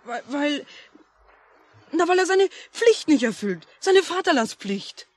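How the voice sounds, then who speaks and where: A performer playing a stubborn, earnest young boy with a husky voice in a 1950s German radio play. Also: high voice, big pitch swings, slow pace, no room sound, full character voice. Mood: earnest, insistent.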